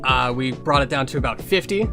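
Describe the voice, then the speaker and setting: A man's voice: medium pitch, animated, a little fast, over music, lightly acted. A man voicing a snarky, blind male warrior cat who hosts an improvised fan Q&A with dry, teasing sarcasm. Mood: announcing. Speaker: host of character Q&A. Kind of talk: improvised in-character fan Q&A banter.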